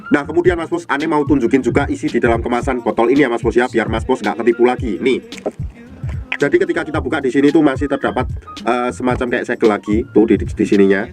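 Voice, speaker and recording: A man's voice: medium pitch, a little mellow, faint music behind. A man with a cold and a blocked, nasal voice, demonstrating products casually for an online shop and calling the viewer "boss" all the time. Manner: fast and informal, calls viewer "Mas Bos" constantly. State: stuffy nose from a cold. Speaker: online herbal product seller.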